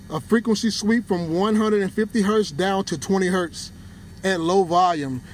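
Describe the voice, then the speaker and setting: A man's voice: high-pitched, a little mellow. A man hosting a hands-on product test, explaining each step to the viewers as he goes. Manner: explanatory, addresses viewers as "you guys". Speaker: car audio reviewer and host. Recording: clear background noise, vehicle ambience.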